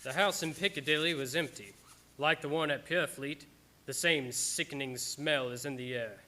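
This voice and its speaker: An actor playing a sober vampire hunter, narrating a radio play in a measured, descriptive way. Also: male voice, fairly high pitch, background noise, natural voice. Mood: grim.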